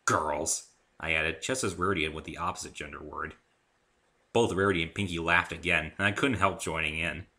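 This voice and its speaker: A man's voice: deep voice, a little fast, bright, forceful tone. A man reading scripted first-person fiction as an amateur story narrator.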